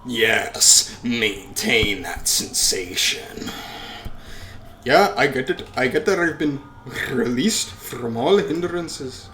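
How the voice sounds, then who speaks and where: A man with a mid-pitched voice reads a visual novel aloud. He breaks off into casual, joking asides with swearing. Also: expressive, takes it slowly, pushed and bright, slight background noise. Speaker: let's play host.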